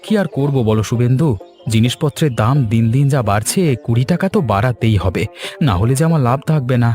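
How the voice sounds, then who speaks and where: A man plays a greedy village food seller in a moral-story cartoon. He is boastful, brash and pushy, with a medium-pitched voice over light music. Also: animated, fast talker, warm tone, close to an everyday voice. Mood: irritated.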